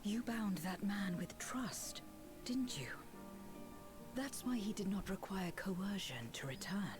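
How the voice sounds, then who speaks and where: A woman playing a grave, distrustful warrior in a video game, terse and deliberate, with a hushed delivery. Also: mid-range voice, lively intonation. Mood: somber, bitter. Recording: game music behind the voice.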